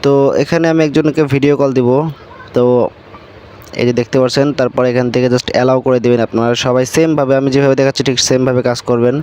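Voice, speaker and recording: Casting: male online tutorial host, very quick delivery, smooth clear tone, casual and instructional. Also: mid-range voice, fairly even intonation, darkish tone. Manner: repetitive, instructional, step-by-step. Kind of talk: casual screen-recording tutorial.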